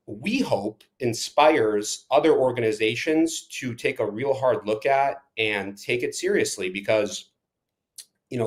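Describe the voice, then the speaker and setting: A man born in Ukraine, a soccer club manager and coach, answering interview questions emphatically, racing through some phrases and slowing on others. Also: mid-range voice.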